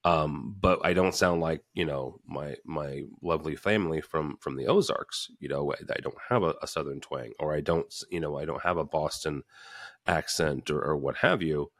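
A man's voice: very deep voice, normal speed, no echo, studio quality. A man with a Midwestern American accent hosting a casual panel talk, rambling with many restarts and fillers. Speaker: fill-in podcast host.

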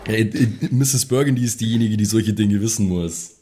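A man playing a tabletop role-playing game, improvising in casual, halting, informal chat. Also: lowish voice, dark tone, faint music behind.

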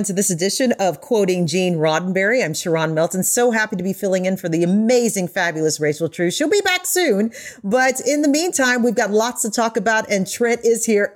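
A woman hosting a podcast in an emphatic, effusive conversational way. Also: medium pitch. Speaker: guest podcast host.

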